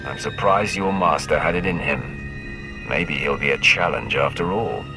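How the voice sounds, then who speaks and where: Very deep, imperious dark warrior. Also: male voice, moderate pace, gravelly, over music. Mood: scornful. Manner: commanding, disdainful. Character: imperious, scornful young Sith warrior man. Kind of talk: video game voice acting.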